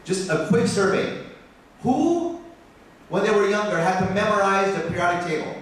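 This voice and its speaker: An American man, a biology professor, giving a passionate conference talk that turns animated as he makes his points. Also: normal speed. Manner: passionate, rising in pitch when animated. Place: conference hall. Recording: echoey hall from far off.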